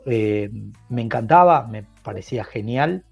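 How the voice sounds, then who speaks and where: A man's voice: medium pitch, expressive, measured pace, vocal fry, faint music behind. Enthusiastic middle-aged man. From Brazil. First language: Portuguese. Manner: enthusiastic, rambling with frequent restarts. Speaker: podcast guest. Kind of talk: casual interview conversation.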